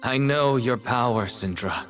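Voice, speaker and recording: A man voicing a troubled, solemn artist in a video game, speaking in a measured, poetic manner with dramatic delivery. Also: mid-range voice, a bit bright, soft background music, natural voice. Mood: solemn, intense. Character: troubled male artist champion, solemn and brooding.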